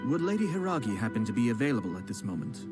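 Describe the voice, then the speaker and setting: A man's voice: on the low side, sing-song, rapid-fire. An actor playing a composed, gracious young nobleman in a game, speaking in a polished, courteous way. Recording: game audio with music underneath. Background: game music.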